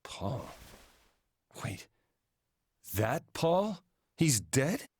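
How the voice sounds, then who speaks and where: A man's voice: medium pitch, big pitch swings, very slow, darkish tone, slightly rough, exaggerated voice. A man playing an evasive, hesitant boyfriend in his thirties in naturalistic video game dialogue. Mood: shocked. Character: evasive, slacker boyfriend man dreading marriage. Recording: music playing behind the voice.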